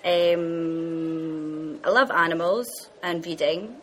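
A relaxed woman from northeast Scotland with a Scottish accent, introducing herself matter-of-factly. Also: sits low, slow. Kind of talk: self-introduction.

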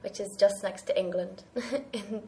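A young woman talks in an easy, chatty way. She is a student and often breaks into a laugh. Her pitch sits in the middle for a woman. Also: a little slow, mellow, slight background noise. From Wales. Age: young adult. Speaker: university student. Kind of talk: casual chat.

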